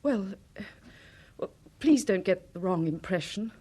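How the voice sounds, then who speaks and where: A woman's voice: mid-range voice, animated, measured pace, dark tone, slightly rough. An actress in a radio drama playing a distressed, genteel married woman with a hesitant, polite manner. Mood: troubled, defensive.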